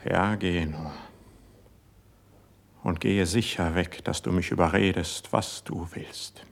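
An actor playing an impassioned, suspicious young court poet in a classical verse radio drama, with a husky, declamatory delivery. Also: male voice, deep voice, steady tone, slow, exaggerated voice. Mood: bitter, suspicious. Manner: declamatory, intense stage delivery.

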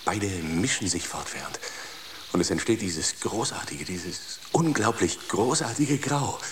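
An actor playing an obsessed visionary artist in a radio drama, with an emphatic, incantatory and repetitive way of talking. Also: male voice, low-pitched, expressive, unhurried. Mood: rapt. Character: visionary, obsessed male artist, once a theoretician, rapturous. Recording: clear background noise.

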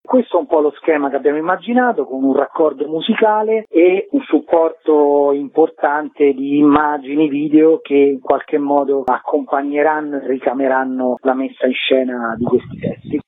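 Higher-voiced man on a thin phone line. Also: normal speed, hardly any pauses, slight background noise. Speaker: interview guest, theatre project organiser. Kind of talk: explanatory phone interview. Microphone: telephone. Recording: thin band-limited phone line on radio.

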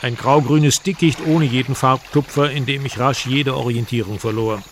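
A man's voice: mid-range voice, fairly even intonation, moderate pace, slight background noise. A man reading scripted first-person travel narration for a radio drama.

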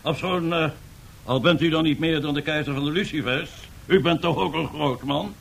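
Strutting Italian fascist leader from a radio play. His husky, creaky voice sits a little high. He speaks in pompous, self-admiring rhetoric, grand and boastful throughout. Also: moderate pace. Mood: grandiose welcome. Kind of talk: 1970s radio drama acting.

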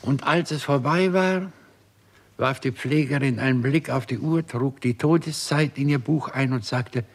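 A doctor consumed by his strange experiments in a 1960s German radio crime drama. His voice is husky and mid-pitched. He speaks slowly and deliberately, with the gravity of someone telling a solemn story. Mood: grave, haunted. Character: brooding, obsessive male doctor experimenting on raising the dead.

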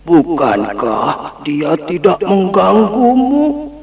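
A woman voicing a wise, gentle old grandfather of the forest who speaks slowly in a half-sung, drawn-out way. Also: lowish voice, wildly swooping pitch, over a noisy crowd, full character voice. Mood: solemn. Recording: echoey, from far off the mic.

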